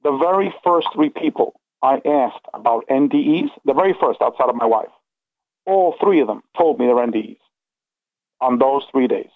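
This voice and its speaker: A man's voice: mid-range voice, expressive, normal speed, no room sound. A middle-aged man telling a personal story in a casual, rambling, earnest way, stressing points and checking the listener follows. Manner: earnest, emphatic, checks in with okay and you know. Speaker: interview guest recounting personal experiences. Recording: tinny phone-like line.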